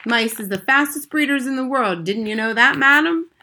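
A woman's voice: sits high, moderate pace, noisy background, voice pushed up. A woman voicing a chatty, folksy old male taxi driver in a storybook reading, with colloquial speech. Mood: chatty amusement.

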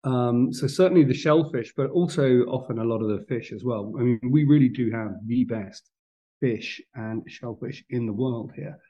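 A man's voice: mid-range voice, moderate pace, dark tone, highs cut off. A middle-aged man, a podcast guest telling stories in a chatty way with many ums and restarts. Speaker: podcast guest, food business founder. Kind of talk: casual interview chat.

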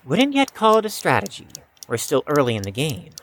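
Actor playing a guarded young chess prodigy, lively pitch. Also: a little fast. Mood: cautious, dry. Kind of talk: scripted audio drama acting.

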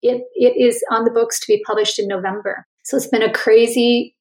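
A woman's voice: a little high, fairly even intonation, fairly quick, darkish tone, low-quality recording. Woman guest, author-coach; reflective conversational delivery. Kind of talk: conversational interview.

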